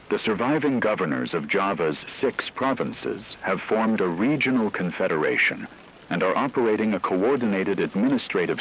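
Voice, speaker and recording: A man delivering scripted news analysis on the radio in a measured, formal manner. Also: deep voice, a bit bright, slight background noise, low-quality recording.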